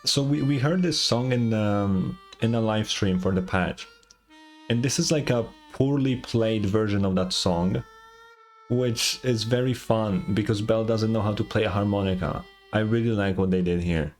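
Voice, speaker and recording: A man's voice: fairly low pitch, normal speed, faint music behind. A man giving casual, reactive game playthrough commentary, with some swearing. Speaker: gaming streamer. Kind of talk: game playthrough commentary and read-aloud dialogue.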